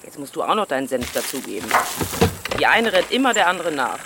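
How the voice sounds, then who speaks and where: A woman's voice: sits low, very animated, fairly quick, heavy background noise. A woman playing a stern, bitter middle-aged village aunt in a radio play, curt and scolding as if issuing warnings. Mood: grim warning. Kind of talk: German radio play acting.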